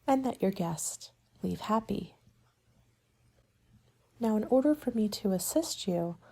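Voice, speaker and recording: Soft, mellow woman in ASMR roleplay. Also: medium pitch, husky. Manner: polite, measured, businesslike consultant patter. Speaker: party planning agent in an ASMR roleplay. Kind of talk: soft-spoken ASMR roleplay, partly whispered.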